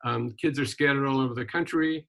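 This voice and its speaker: Older guy from wisconsin, retired minister, chatting through his life story, lots of ums, mid-pitched voice. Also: a little fast, creaky. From Wisconsin, USA. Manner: relaxed storytelling with frequent ums and restarts. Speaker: interview guest, retired minister and journalist. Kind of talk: casual conversational interview.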